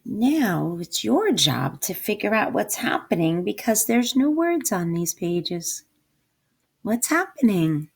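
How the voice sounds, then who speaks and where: A woman's voice: medium pitch, sing-song, slow pace, gravelly, husky. A woman reading a storybook aloud to children, with dramatic emphasis and coaxing questions to her listeners. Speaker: storybook reader, teacher. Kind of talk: expressive read-aloud to children.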